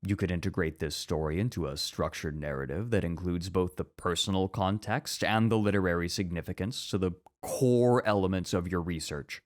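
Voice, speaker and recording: A man acting in an ASMR roleplay as a strict, orderly academic teacher who is easily flustered, speaking in formal, measured lectures. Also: low voice, close to an everyday voice. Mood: earnest, instructive.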